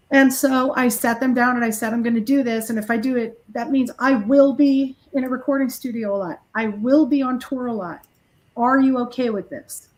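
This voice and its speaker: A woman's voice: fairly high pitch, a little mellow, low-quality recording. A middle-aged woman, a heavy metal musician being interviewed, chatting casually and telling stories in a blunt, self-deprecating way. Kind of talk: casual interview chat, anecdotal storytelling.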